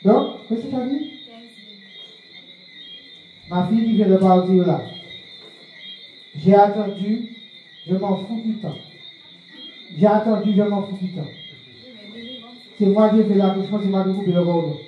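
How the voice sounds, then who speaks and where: A man's voice: sits high, unhurried, long pauses, dull audio. A man giving a public talk on spiritual practice, questioning the audience and repeating key phrases. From France. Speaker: speaker of a spiritual movement. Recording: very echoey room, far from the mic, faint noise.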